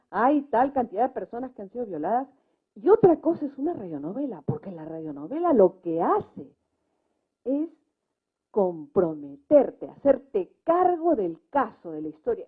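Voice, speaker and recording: A woman speaking off the cuff as a debate panelist, making emphatic points and checking them with tag questions. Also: mid-range voice. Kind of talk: unscripted talk at a debate. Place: public debate event. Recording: muffled, lo-fi event recording.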